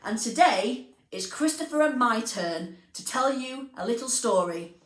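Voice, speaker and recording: A woman presenting and reading stories to young children, speaking expressively and deliberately, addressing them directly. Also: a little high, expressive. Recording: roomy echo, music underneath.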